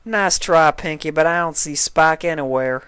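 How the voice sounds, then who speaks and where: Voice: medium pitch, moderate pace, a bit bright, smooth, no room sound, pitched above the performer's own voice, lightly acted. A performer voicing a plain-spoken, teasing female cartoon farm pony with folksy, forthright country talk. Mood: skeptical.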